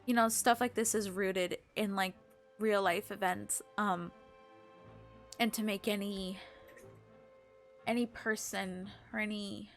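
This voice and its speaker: A woman livestreamer chatting casually with viewers, halting and emotional in a gushing way. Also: medium pitch, fairly even intonation, soft background music. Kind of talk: casual live game stream chat, recapping to viewers.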